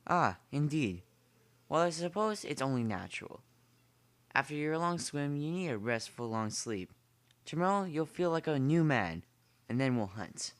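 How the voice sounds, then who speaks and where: A man's voice: on the high side, very animated, moderate pace, no echo. A man playing an urbane, smug aristocratic general in an amateur drama, speaking in a formal, genteel manner. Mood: smugly courteous. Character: urbane aristocratic male general who hunts people for sport. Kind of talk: amateur short story dramatization.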